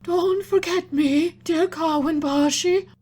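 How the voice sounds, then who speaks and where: A woman's voice: very high voice, slow, darkish tone, pitched above the performer's own voice. A woman voicing a fretful, sentimental male camel that wheezes and wails. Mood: fond. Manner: wheezing, wailing.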